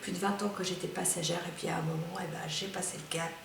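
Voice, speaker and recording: A woman's voice: fairly low pitch, flat delivery, creaky, a little hiss or hum. A woman in her late fifties, a motorcyclist giving hesitant interview answers. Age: 50s. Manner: hesitant, drawn-out fillers. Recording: roomy, distant mic.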